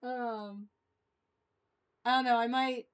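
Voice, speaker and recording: A woman hosting a livestream in a casual, rambling fan chat, halting and often trailing off mid-thought. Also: fairly high pitch, steady tone, clean tone. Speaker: livestream host.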